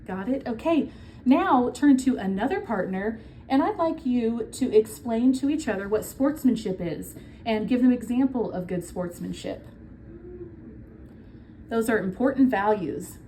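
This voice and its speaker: A woman with a fairly high voice reads a children's story aloud in a teacher's manner. She explains things simply and puts questions to her listeners. There is some noise in the recording. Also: lively intonation, unhurried, dark tone, rough recording. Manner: explains simply, prompting listeners to talk to partners. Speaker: teacher reading a children's book to a class.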